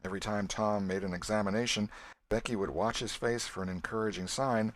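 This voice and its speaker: Low-voiced man narrating an audiobook. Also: fairly even intonation, fairly quick, no echo. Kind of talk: scripted literary narration.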